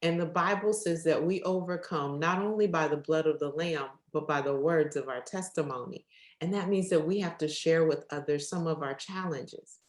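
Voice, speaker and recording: A woman's voice: sits low, moderate pace, reverberant. Enthusiastic woman hosting a faith podcast. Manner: enthusiastic, preacherly. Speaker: podcast host.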